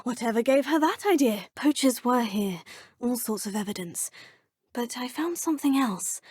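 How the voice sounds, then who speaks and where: A woman's voice: a little high, a little mellow, slightly rough, no room sound, clean studio sound. An actress voicing a polite, determined young female student witch in a video game, speaking composed formal English. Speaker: player character voice. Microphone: studio microphone.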